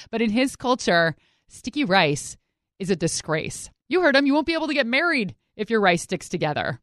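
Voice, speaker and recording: Woman food-show host with lively, swooping intonation. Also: medium pitch, no echo, clean studio sound. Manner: conversational, informal storytelling. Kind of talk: scripted narration mixed with casual interview. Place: studio.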